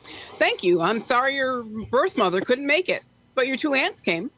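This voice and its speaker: A woman's voice: high voice, big pitch swings, normal speed, fairly bright tone, full character voice, poor audio. A woman playing a polite organiser of a school lunch meeting in an amateur audio play. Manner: courteous, organising.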